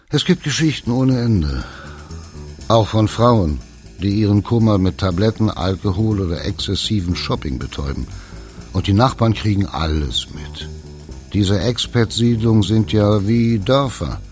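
Male, low-pitched, blunt and colloquial storyteller, music underneath. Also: a little mellow. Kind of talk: candid conversational storytelling about expat life.

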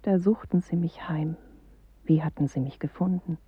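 An actress in a radio play voicing a woman's inner self, reciting poetry in a solemn, hushed, breathy way. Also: low voice, normal speed, no room sound, narrow, tinny audio. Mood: hushed, haunted. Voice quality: breathy, husky. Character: inward female self, a solemn first-person poetic voice. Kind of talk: radio play poetic monologue.

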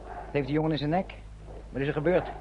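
An actor in a radio play as a genial, paternal German army sergeant, chummy and coaxing. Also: male voice, fairly high pitch, fast talker, lightly acted. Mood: concerned, coaxing. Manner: chummy, coaxing, talks in reassuring little questions. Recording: noisy, dull old radio play recording.

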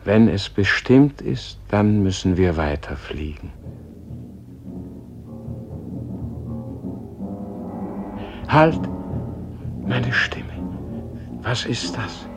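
Gentle old man in a radio play, deep-ish voice, really slow, solemn, lyrical, trails off hushed. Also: lively intonation, music in the background. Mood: troubled, sorrowful. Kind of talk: German radio play acting, solemn and poetic.

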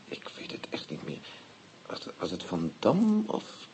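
A man's voice: deep voice, huge pitch swings, unhurried, a little mellow, in a whisper, exaggerated voice, rough recording. An actor playing a fussy, self-important university professor in a radio play, hesitant and pedantic in his talk. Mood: musing.

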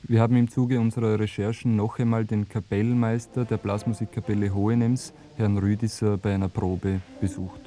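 An actor playing a sober radio documentary reporter, narrating research findings in a measured, matter-of-fact way. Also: male voice, on the low side, flat delivery, natural voice. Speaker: documentary presenter.

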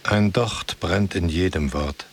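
Very deep, slow, ancient book guardian. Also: male voice, a little hiss or hum. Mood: grave, cryptic. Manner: slow, cryptic, solemn answers. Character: ancient wandering guardian of a book, cryptic and grave. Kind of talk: poetic radio drama dialogue.

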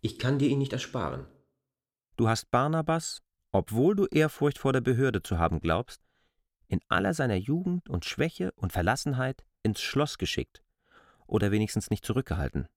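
A man's voice: medium pitch, sing-song, moderate pace. An actor in a German radio drama playing a stubborn, blunt man who speaks in a direct, accusing way. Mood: reproachful.

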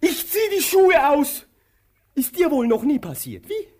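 An actor playing a weary, querulous, childlike male tramp in a radio drama, with a husky voice and a plaintive, grumbling manner. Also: very high voice, wildly swooping pitch, unhurried, a little mellow, full character voice. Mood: aggrieved. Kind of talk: theatrical radio drama acting.

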